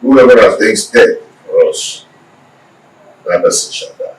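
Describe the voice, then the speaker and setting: An actor playing a solemn village priest who declaims thanks and blessings. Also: male voice, on the low side, animated, a little slow, reverberant, distant mic, rough recording.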